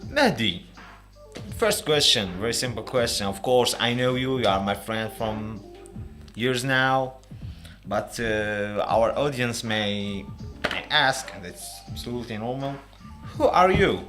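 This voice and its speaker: A man with an Algerian accent hosting a casual interview, halting as he searches for his words. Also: medium pitch, lively intonation, music in the background. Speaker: podcast host, interviewer.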